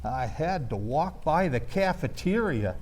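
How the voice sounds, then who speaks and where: Slow-paced man telling stories in a high voice. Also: big pitch swings, darkish tone. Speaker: storyteller. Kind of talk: live storytelling, first-person comic reminiscence.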